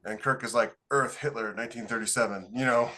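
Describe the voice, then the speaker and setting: A man's voice: medium pitch, steady tone, brisk, sharp tone, slight background noise. A man co-hosting a podcast, talking through his points in casual conversation. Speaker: podcast co-host. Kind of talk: casual discussion.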